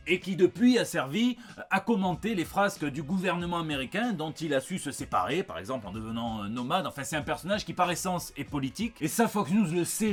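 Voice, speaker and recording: A man's voice: fairly high pitch, fairly bright tone. A man with a French accent hosting a news review show in a wry, chatty way, mixing scripted reporting with joking asides. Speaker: host of a comic book news show.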